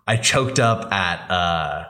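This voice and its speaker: A man's voice: sits low, lively intonation, slow pace, sharp tone. A man co-hosting a podcast in casual chat, talking in a rambling, hesitant way. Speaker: podcast co-host. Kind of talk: casual chat about Star Wars games and shows.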